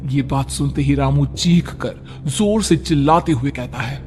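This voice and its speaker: A man narrating a scripted horror story in a dramatic, deliberate manner. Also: mid-range voice, darkish tone, soft background music.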